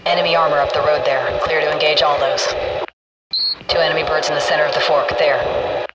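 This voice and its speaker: An actor playing a businesslike military gunship fire control officer, giving clipped, commanding radio callouts in a video game. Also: male voice, fairly high pitch, little pitch movement, brisk, natural voice. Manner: terse, commanding. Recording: distant military radio, loud aircraft cabin noise.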